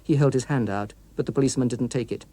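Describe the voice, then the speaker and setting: A man's voice: medium pitch, fast talker, mellow, dry recording. A man with a British accent narrating a scripted story as a dramatic audiobook reader.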